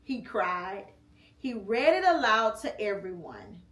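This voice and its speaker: A woman reading a picture book aloud at home with her child, gentle and encouraging, stopping to prompt him. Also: mid-range voice, sing-song, takes it slowly, echoey room. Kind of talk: read-aloud storytime and casual chat.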